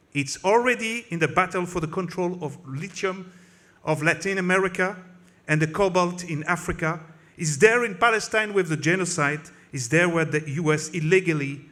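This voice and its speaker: A man's voice: on the high side, a bit bright. A man whose first language is French gives an impassioned political speech in English at a conference, with a French accent and frequent slips and restarts. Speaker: conference speaker.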